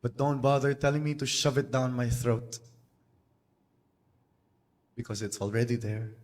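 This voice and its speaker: A man from the Philippines with a Filipino accent, performing spoken word poetry live in a small cafe, with slow, deliberate phrasing and pauses. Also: mid-range voice, a little mellow.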